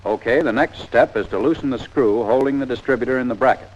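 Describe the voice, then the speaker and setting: A man's voice: medium pitch, fast, rough recording. An American man narrating a 1940s army training film in a scripted, plain-spoken, methodical instructional style.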